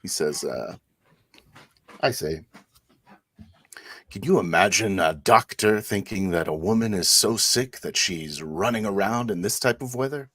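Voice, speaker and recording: A man's voice: fairly low pitch, lively intonation, a little slow, pauses often, fairly bright tone, no room sound. A man improvising as a devout, genteel inquisitor priest in a tabletop roleplaying game, with a hesitant, insinuating manner. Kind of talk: improvised in-character roleplay and narration.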